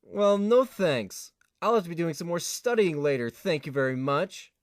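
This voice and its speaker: An eager, awkward young student, played by a man in an audio play. His voice is high for a man and jumps around in pitch. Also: lively intonation, moderate pace, natural voice. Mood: dismissive. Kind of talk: fan audio drama acting.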